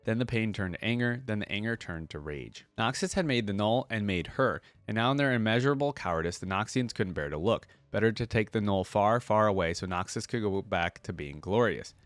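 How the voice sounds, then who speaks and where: A man with a fairly low voice, recorded close and dry. He hosts reaction videos, moving between reading narrative text aloud and offering casual, conversational commentary. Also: animated, fairly quick, hardly any pauses, no room sound.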